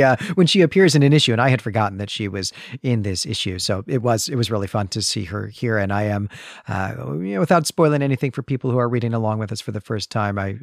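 A man co-hosting a podcast, discussing literature casually and phrasing his ideas in a measured, tentative way. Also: on the low side, gravelly, no room sound, clean studio sound. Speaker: podcast host.

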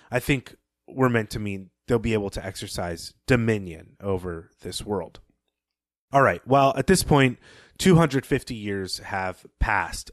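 A man's voice: on the low side, no room sound, clean studio sound. A man hosting a podcast, recapping a short story in a thoughtful, explanatory way with occasional asides. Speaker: podcast host.

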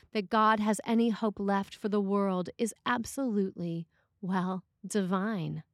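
Woman priest reading out a sermon, real slow and deliberate, very dry close sound. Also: medium pitch, a little mellow, no echo.

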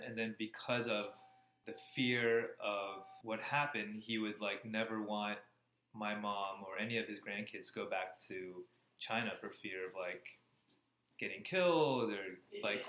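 A man's voice: lowish voice, pushed and bright. An American man in his thirties, answering interview questions in a casual way. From Florida, USA. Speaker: interview guest, cardiology fellow. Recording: echoey room, faint background noise.

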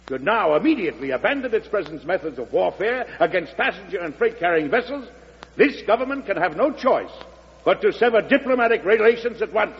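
An actor playing a grave, idealistic American President in an old-time radio drama, speaking in a measured, oratorical manner. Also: male voice, high-pitched. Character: solemn, idealistic male American President pleading for peace. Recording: muffled old radio recording, reverberant, humming noise.

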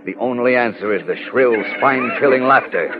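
A man's voice: medium pitch, brisk, very noisy, natural voice. A man acting as a grave, suspenseful narrator in a theatrical 1940s radio drama style. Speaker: announcer and narrator. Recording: dull old radio recording. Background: dramatic music.

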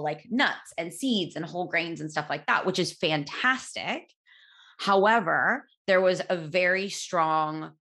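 A woman in her thirties speaks in a low, loud voice as she hosts her own podcast. She tells a personal story candidly and casually. She pauses on fillers and restarts her sentences as she goes. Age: 30s. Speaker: podcast host.

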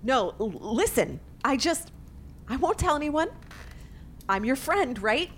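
A young woman played by an actress in an audio drama, feigning innocence while hiding a murderous streak. Her delivery is slow and very expressive in pitch, with some faint noise behind it. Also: full character voice. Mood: pleading, nervous. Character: secretly murderous woman feigning innocence among old childhood friends.

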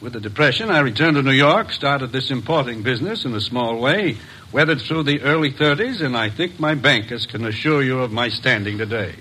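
A man's voice: mid-range voice, lively intonation, brisk, bright, forceful tone, slight background noise, rough recording. An actor playing a polished, guarded importer businessman in a 1950s radio drama.

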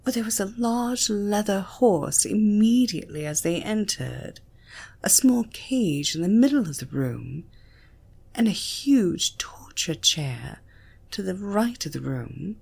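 A British woman narrating an erotic story in a breathy voice that often drops toward a whisper. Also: mid-range voice, expressive, slow, a little mellow. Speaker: audiobook narrator.

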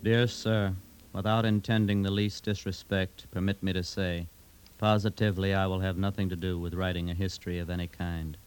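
An actor playing a proud, curt outlaw ex-soldier reading a formal letter in a measured, declamatory 1950s radio drama style. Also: male voice, low-pitched, little pitch movement, natural voice. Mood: proud, firm.

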